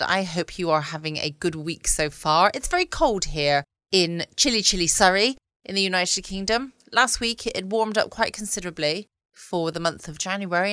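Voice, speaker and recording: A woman's voice: on the low side, a bit bright, clear, resonant tone, no room sound, clean studio sound. A woman with a southern English accent chatting casually and cosily as the host of a bedtime podcast. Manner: chatty, cosy, informal. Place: at home, indoors.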